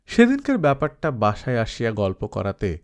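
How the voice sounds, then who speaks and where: A man's voice: mid-range voice, huge pitch swings, normal speed, a little mellow. A man reading a literary story aloud as a scripted audiobook narration.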